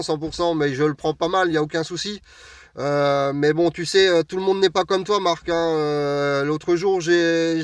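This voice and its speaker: A man from France with a fairly high voice, picked up a little way from the mic in a dry space. He chats casually over amateur radio, hesitating and dropping in radio jargon. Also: no echo. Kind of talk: casual radio contact chat. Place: parked vehicle outdoors on an open plateau. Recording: distant mic, recorded away from the speaker.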